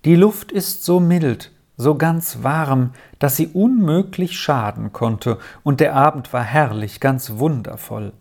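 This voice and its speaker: A storybook narrator voicing a gentle, ailing father, an army major who speaks calmly and soothingly. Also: medium pitch, slow, creaky, hardly any pauses. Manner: calm, soothing, resigned.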